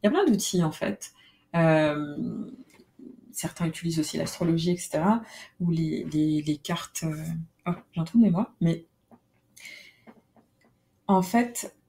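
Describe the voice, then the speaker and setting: Mellow-voiced woman hosting a podcast. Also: low voice, unhurried, long pauses, slight background noise. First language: French. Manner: hesitant, many fillers and restarts. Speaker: podcast host. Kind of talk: casual solo podcast monologue.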